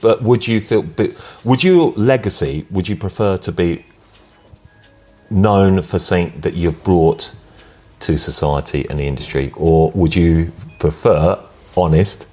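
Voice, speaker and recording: Man, very deep voice, opinionated co-host in a salon chat. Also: unhurried, warm tone. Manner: opinionated, probing questions. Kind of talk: casual chat. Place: hair salon, indoors. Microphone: handheld mic.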